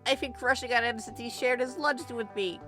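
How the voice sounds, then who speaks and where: An impulsive teenage boy, voiced by a female reader blurts things out in a high, bright voice. He is earnest and insistent, a character in a dramatic story reading. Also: fairly quick, soft background music, lightly acted. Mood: urgent, earnest.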